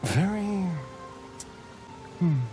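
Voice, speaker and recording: A man's voice: sits high, very animated, extremely slow, heavily acted. A man playing a suave, sly, flirtatious companion in a video game, drawling playfully. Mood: teasing, sly. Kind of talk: video game character acting. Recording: music clearly behind, rain sounds.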